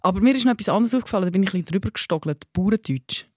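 A man with a Swiss German accent hosting a podcast, chatty and lively, asking quick questions. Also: high voice, no room sound, clean studio sound. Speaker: podcast host. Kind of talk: casual podcast chat.

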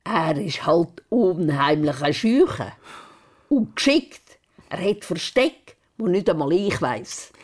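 A woman's voice: fairly low pitch, sing-song, slow, darkish tone, exaggerated voice. An older-sounding woman playing a down-to-earth, teasing grandmother with a Swiss German accent in a children's radio play. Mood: knowing, calm. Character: knowing, matter-of-fact, teasing old grandmother.

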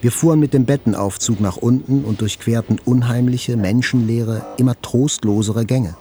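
A man's voice: medium pitch, normal speed, warm tone, lightly acted. An actor playing a composed male patient, recounting his past matter-of-factly as a literary audio drama narrator. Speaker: first-person narrator. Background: faint music.

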